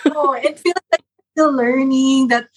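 A woman's voice: sits high, steady tone, measured pace, soft background music. A woman in her forties, an interview guest who earnestly recounts a personal story, now and then correcting herself mid-word. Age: 40s. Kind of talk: casual interview chat.

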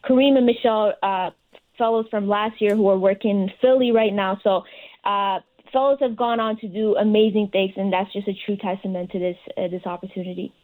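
A woman's voice: mid-range voice, steady tone, a little fast, a little mellow, poor audio. Earnest woman answering as a guest. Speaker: interview guest, recent fellowship recipient. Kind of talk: conversational interview answers.

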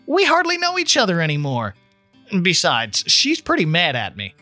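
Guy doing a flustered disbelieving dude in an audiobook, sarcastic, bright and up and down in pitch. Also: huge pitch swings, moderate pace, no room sound, faint music behind, exaggerated voice. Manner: incredulous, sarcastic. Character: flustered, skeptical, sarcastic man, disbelieving and self-doubting.

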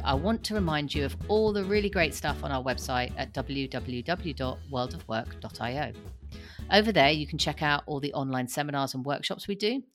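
A woman co-hosting a podcast, reading a promo and intro in a cheerful, clear way. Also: deep voice. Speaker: podcast co-host.

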